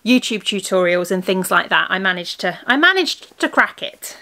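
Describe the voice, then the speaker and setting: A woman with a hoarse, worn voice rambles cheerfully through a casual podcast chat. She talks the camera through her knitting projects piece by piece, correcting herself and wandering off on small asides as she goes. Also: mid-range voice, lively intonation, moderate pace, pushed and bright. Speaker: crafting podcast host and yarn shop owner.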